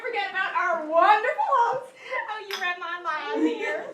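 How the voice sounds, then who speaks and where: A woman's voice: extremely high pitch, expressive, normal speed, bright, forceful tone, exaggerated voice. A woman playing a chipper, fussing female friend at a cookout in a staged play reading. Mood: wry, chatty. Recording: echoey room, distant mic, noisy hiss.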